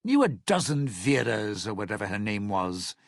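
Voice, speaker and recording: A man playing a jaded, self-regarding old lounge singer and cynical schemer in a video game, with a gravelly, husky voice and a wry, theatrical, slangy drawl. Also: on the low side, very animated, normal speed, no echo, studio quality. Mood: defensive, indignant. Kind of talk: video game character dialogue.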